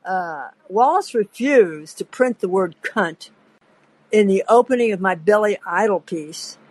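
A woman's voice: medium pitch, expressive, measured pace, no room sound. An older woman hosting a podcast, telling long, digressive anecdotes in a chatty way and breaking off to laugh. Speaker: podcast host. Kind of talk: rambling anecdotal storytelling.

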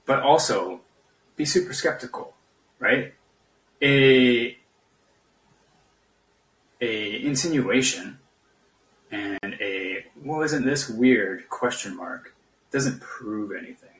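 In an echoey room, somewhat far from the mic, a man argues politics casually. His voice is lowish but bright and forceful, and he talks in a halting, skeptical way. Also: lively intonation. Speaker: podcast guest caller.

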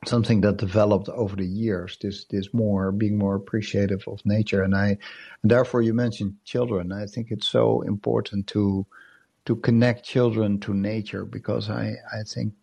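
A man co-hosting a podcast in casual chat, losing his voice and clearing his throat, often repeating words as he restarts his thoughts. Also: on the low side, little pitch movement, moderate pace, mellow. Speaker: podcast co-host. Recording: call-in app audio.

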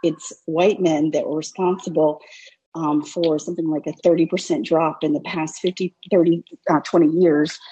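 A woman calling in to a panel discussion, speaking hesitantly with many pauses and fillers. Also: sits low, steady tone, dark tone, husky, poor audio. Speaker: caller.

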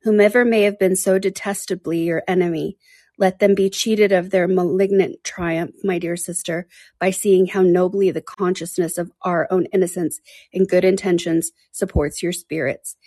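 A woman reading aloud and voicing a calm, sensible young woman consoling her sister in measured words. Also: lowish voice, no room sound, clean studio sound, natural voice.

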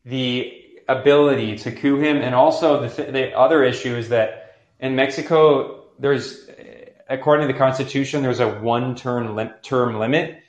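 A young man hosts a call-in show in an easy, rambling way. He stops and restarts often while he gathers his thoughts, and the room gives his voice a clear echo. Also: mid-range voice, fairly even intonation, normal speed. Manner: rambling, thinks aloud with many fillers. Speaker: podcast host taking calls. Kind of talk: casual call-in chat. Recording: roomy echo.

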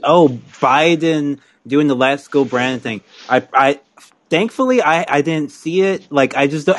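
A man's voice: medium pitch, dry recording. A man in the middle of his range hosts a call-in podcast, easygoing and blunt, laughing often. His voice is close and dry with no room sound. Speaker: podcast host. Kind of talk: casual call-in chat.

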